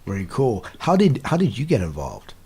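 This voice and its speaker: A man hosting a podcast, interviewing a guest in a casual, somewhat halting way with repeated words. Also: mid-range voice, wildly swooping pitch, mellow, husky. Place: remote call recording.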